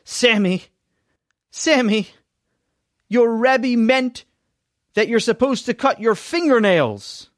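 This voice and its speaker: A man's voice: medium pitch, brisk, dry recording, clean studio sound. Man voicing a weary, patient father. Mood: exasperated. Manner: sighing, calm and patient.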